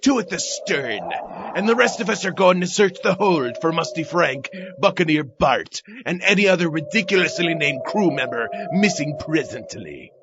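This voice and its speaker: Gravelly, blustering pirate captain. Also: male voice, a little high, big pitch swings, normal speed, muffled recording, noisy background. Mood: commanding. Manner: pompous, theatrical pirate speech. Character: gruff, blustering male pirate captain, threatening and grandiose. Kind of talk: comic audio drama acting.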